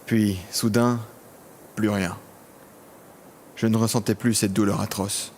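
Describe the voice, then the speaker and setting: An actor playing a lonely, vengeful imprisoned villain in a scripted audio drama, speaking in brooding, literary monologue. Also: male voice, fairly low pitch, little pitch movement, takes it slowly, darkish tone, a little hiss or hum. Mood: haunted, sorrowful. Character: vengeful, lonely imprisoned villain, self-styled son of death.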